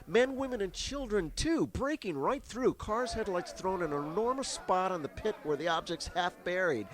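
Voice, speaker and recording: An on-the-spot news reporter in an old-time radio drama. His voice sits fairly high, and he stammers with urgency through formal announcer phrasing, flustered and excited by the scene. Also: normal speed, a crowd in the background. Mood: tense wonder.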